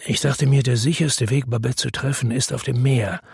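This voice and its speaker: An actor playing a weary, determined man who narrates his crime story in a measured, understated, rough-edged way for German radio drama. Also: medium pitch, steady tone, darkish tone, no room sound, studio quality. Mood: grave, measured. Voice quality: husky, rough.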